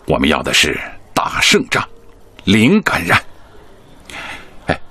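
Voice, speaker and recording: An actor playing a hearty, resolute middle-aged hospital director in a Chinese radio drama, avuncular and declarative. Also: male voice, extremely low pitch, huge pitch swings, slow pace, bright, forceful tone, breathy edge, no echo, exaggerated voice. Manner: avuncular, declarative, punctuates with questioning grunts.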